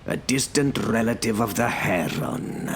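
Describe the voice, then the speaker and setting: A man voicing an unruffled knight who feigns confusion with dry, deliberate politeness in an audiobook. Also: lowish voice, lively intonation, creaky, slight background noise, pitched above the performer's own voice. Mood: feigned confusion.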